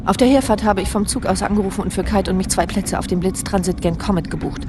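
A woman's voice: mid-range voice, fast talker, noisy background, close to an everyday voice. An actress playing a principled, defiant woman who challenges and probes her opponent in a radio drama. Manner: probing, challenging. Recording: distant voice with music underneath.

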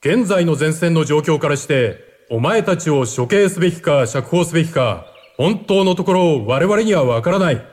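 Stern, commanding Japanese officer played by a man, highish voice, reverberant hall, radio drama. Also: lively intonation, fairly quick, darkish tone, natural voice. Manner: formal, commanding. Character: stern Japanese army officer addressing prisoners.

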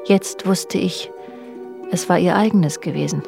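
A woman's voice: lowish voice, measured pace, vocal fry. An actress playing a wounded, brooding woman who recounts a secret love affair in controlled, literary German radio drama narration. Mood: bitter, controlled. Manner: controlled, literary first-person telling. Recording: radio drama with music underneath. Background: music.

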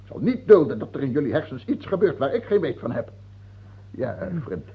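A man playing a paranoid, capricious king in an old radio play, husky and creaky, repeating himself and swinging between wheedling and shouting. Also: mid-range voice, big pitch swings, fairly quick, exaggerated voice. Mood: suspicious, mocking. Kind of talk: old radio drama acting. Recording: muffled, phone-like old radio recording.

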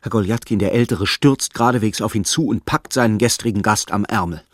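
A man narrating a literary radio play in a measured, precise, wry storytelling manner. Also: on the low side, no room sound, clean studio sound, natural voice. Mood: wry, vivid.

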